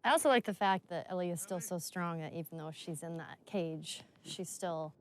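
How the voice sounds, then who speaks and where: A woman's voice: fairly low pitch, moderate pace. A woman chatting casually as a game actress giving commentary. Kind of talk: casual chat about acting.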